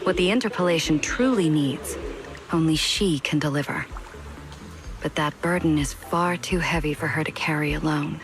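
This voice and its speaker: A low-pitched, measured female official played by a voice actress for a game cutscene. She is formal and shrewd, a strategist who weighs each word with quiet resolve. Faint music plays underneath. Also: natural voice. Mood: earnest, solemn. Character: composed, dutiful female department head, shrewd political strategist. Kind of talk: video game cutscene voice acting.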